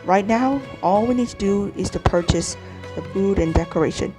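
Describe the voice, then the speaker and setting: Female game streamer, mid-pitched, reading lines aloud haltingly with frequent stumbles, music underneath. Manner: halting read-aloud with stumbles and repeated words. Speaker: gaming streamer. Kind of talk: reading game dialogue aloud during a playthrough. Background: video game music.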